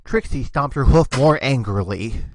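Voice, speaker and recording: A man's voice: medium pitch, lively intonation, unhurried, no room sound. A man giving scripted storybook narration of a cartoon fan fiction.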